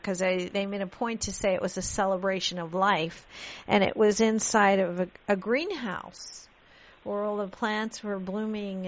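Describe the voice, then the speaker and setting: Lady radio host, chatty and expressive, kinda dull sound. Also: medium pitch. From Pakistan, raised in London. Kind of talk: radio interview conversation.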